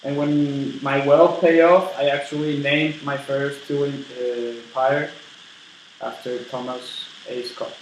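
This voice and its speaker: A man's voice: medium pitch, flat delivery, far from the mic. Young-sounding man as eager tycoon in echoey room. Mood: proud. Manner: earnest, halting, repeats words. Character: eager, polite Scottish-born steel tycoon being interviewed. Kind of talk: role-played historical interview. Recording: very echoey room with background noise.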